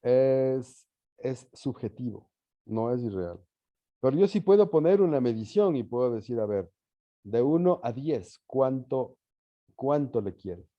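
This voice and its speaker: A man teaching a class in an informal lecture style, pausing to think and often checking understanding with short tag questions. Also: medium pitch, lively intonation, dry recording, highs cut off. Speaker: university teacher leading an online or live class.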